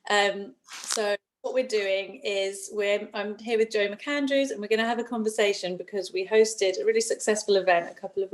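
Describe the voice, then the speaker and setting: A woman hosting a video call conversation, friendly and a little hesitant, with frequent ums. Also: mid-range voice. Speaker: host. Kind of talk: video introduction and interview.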